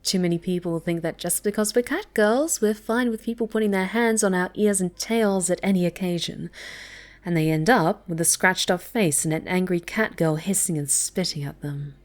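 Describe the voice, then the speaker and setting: A woman playing a snobby but soft, easily flustered cat girl in an intimate ASMR roleplay, speaking coyly and haltingly, often breathy. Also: on the low side, close to an everyday voice. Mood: shy, soft. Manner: halting, stammering, coy, haughty.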